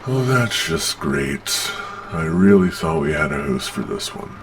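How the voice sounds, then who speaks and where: Guy doing a stern tired monster agency official, super low slow voice, asmr roleplay. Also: very animated, slight background noise, exaggerated voice. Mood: weary, let down. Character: weary, official male monster-placement agent, stern and matter-of-fact.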